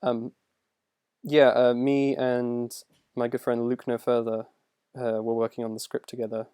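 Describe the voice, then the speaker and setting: A young man talks casually in a very dry, close sound with a middling pitch. He rambles through answers to his viewers as a video creator, often putting himself down along the way. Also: flat delivery, measured pace, warm tone, clear, resonant tone, dry recording. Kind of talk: casual livestream Q&A, reading questions aloud.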